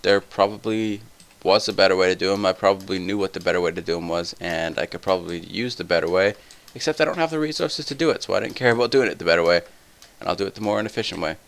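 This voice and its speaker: A tired young man with a somewhat deep voice, rambling through casual gameplay commentary full of tangents. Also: animated, fast talker, hardly any pauses. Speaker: let's play host.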